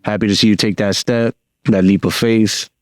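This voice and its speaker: A Cuban-born man, a guest talking casually on a podcast in a slangy, relaxed way full of fillers. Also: lowish voice, moderate pace, clear, resonant tone, dry recording. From Cuba. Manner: laid-back slangy talk with many fillers.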